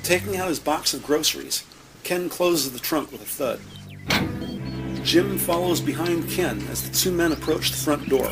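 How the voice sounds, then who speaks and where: A man narrating an audio drama from a script, describing scenes in measured present-tense storytelling. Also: fairly high pitch, gravelly. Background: music.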